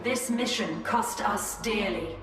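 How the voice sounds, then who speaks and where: An actress playing a resolute Eldar seer who plans and reflects with solemn care in a game cutscene. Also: female voice, medium pitch, natural voice. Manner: solemn, deliberate planning. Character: resolute Eldar seer and leader, female adult. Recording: echoey hall with game music.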